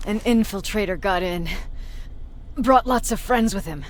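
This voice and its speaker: An actress playing a stern, curt, embittered resistance commander in a video game. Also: female voice, mid-range voice, a little slow, close to an everyday voice. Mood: grim. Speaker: voice actor. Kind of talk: dramatic video game acting.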